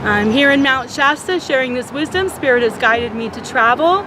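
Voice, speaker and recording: A woman with a slightly high voice speaks in a measured, earnest way as a spiritual teacher. Outdoor noise is clearly heard behind her. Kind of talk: prepared self-introduction.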